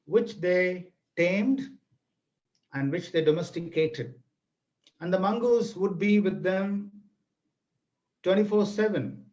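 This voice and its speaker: Slow, high-voiced Indian man telling stories. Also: darkish tone. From Tamil Nadu, India. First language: Tamil. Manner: deliberate, dramatic, emphatic repetition. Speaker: webinar presenter, storyteller. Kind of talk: theatrical read-aloud storytelling in an online lesson. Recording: dull, lo-fi online webinar audio.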